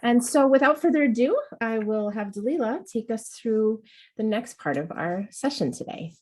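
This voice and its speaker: A woman's voice: fairly high pitch, animated, normal speed, darkish tone. A woman hosting an educational webinar, talking in an encouraging way and often restarting her sentences. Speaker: webinar host.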